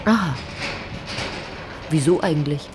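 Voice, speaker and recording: An actress playing a wry, probing narrator and investigator in a radio drama, speaking in a dry, insistent way. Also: female voice, deep voice, a little slow, noisy background. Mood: curious, probing.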